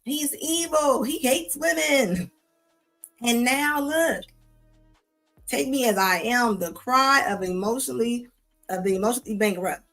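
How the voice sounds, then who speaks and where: A woman's voice: a little high, a little slow, fairly bright tone, soft background music, low-quality recording. A woman hosting a live show, reacting to clips in a sassy, scolding tone with casual slang, often repeating herself. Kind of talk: live commentary on videos.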